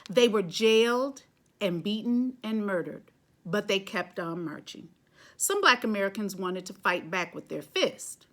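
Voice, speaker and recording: A woman reading a children's picture book aloud, phrasing deliberately and stressing key words. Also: medium pitch, animated.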